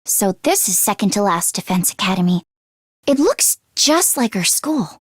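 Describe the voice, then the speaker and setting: A woman voicing an earnest, squeamish teenage schoolgirl mechanic in an anime game, expressive and quick to exclaim. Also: medium pitch, dry recording, clean studio sound. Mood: earnest, explaining.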